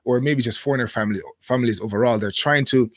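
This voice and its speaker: A man in his thirties, a guest in a casual interview, speaking thoughtfully with many pauses and fillers. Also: mid-range voice, no echo, studio quality.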